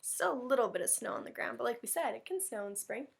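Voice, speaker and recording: A woman's voice: a little high, sing-song, fast, vocal fry, breathy edge. A woman teacher chatting informally and animatedly to young children during a read-aloud.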